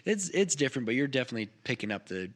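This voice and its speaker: A man narrating a tabletop game as game master, describing scenes in a halting way. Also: mid-range voice, sing-song. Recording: noticeable background noise.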